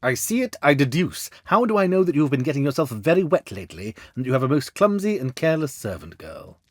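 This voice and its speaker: A man voicing a cool, self-assured gentleman detective in a storybook reading, with a precise, analytical and gently condescending manner. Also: a little high, very animated, fast talker, no echo, studio quality. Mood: amused, assured. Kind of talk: storybook character dialogue.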